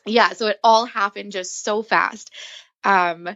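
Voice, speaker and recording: A woman's voice: mid-range voice, takes it slowly, dry recording, dull audio. Giggly young woman teacher. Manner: excited, giggly storytelling. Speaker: teacher, podcast guest. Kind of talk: casual interview chat.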